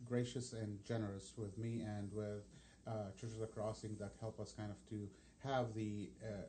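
A man's voice: fairly low pitch, little pitch movement, normal speed, warm tone, a little hiss or hum. A man who is a native Arabic speaker, a missions pastor talking as an interview guest, hesitant with many fillers and restarts.

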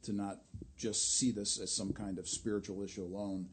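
A man's voice: on the low side, little pitch movement, moderate pace, husky. A man hosting a church radio interview, steadily affirming his guest with murmured agreement. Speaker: radio show host.

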